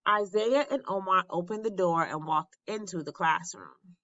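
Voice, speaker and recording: A woman reading a children's story aloud in an expressive, deliberate storytelling manner. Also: on the low side, dry recording, highs cut off. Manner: animated, deliberate storytelling with acted dialogue.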